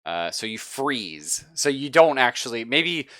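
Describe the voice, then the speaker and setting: Bright-voiced man running a tabletop game. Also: mid-range voice, very animated, a little slow, no room sound, clean studio sound. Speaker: game master. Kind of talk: casual tabletop roleplay narration.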